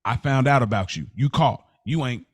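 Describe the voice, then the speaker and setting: An American man co-hosting a casual podcast discussion, thinking aloud in a halting way and circling back to rephrase. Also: medium pitch, husky, no room sound. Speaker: podcast co-host.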